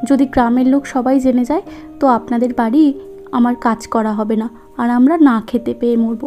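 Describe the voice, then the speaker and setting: A woman's voice: on the high side, steady tone, fairly quick, warm tone, faint music behind, natural voice. A woman playing a humble, sweet young village maid in a cartoon story. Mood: pleading, modest.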